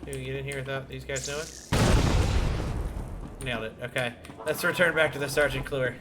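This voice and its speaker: A man's voice: mid-range voice, fairly even intonation, fairly quick. A man giving casual, informal gameplay commentary, thinking aloud as he plays. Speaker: game streamer. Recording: noisy with keyboard clatter. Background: game ambience, keyboard typing.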